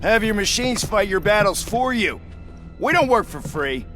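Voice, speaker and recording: High-voiced, curt male mercenary. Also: sing-song, moderate pace, pushed and bright, soft background music, full character voice. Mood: mocking, businesslike. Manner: curt, businesslike, taunting. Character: cool male mercenary leader, pragmatic and mocking. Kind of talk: video game cutscene acting.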